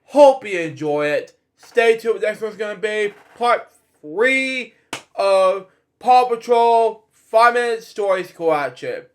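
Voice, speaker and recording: A man hosting a read-aloud of children's stories in a casual, halting, rambling way with off-the-cuff asides. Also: high-pitched, lively intonation. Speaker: host reading bedtime stories.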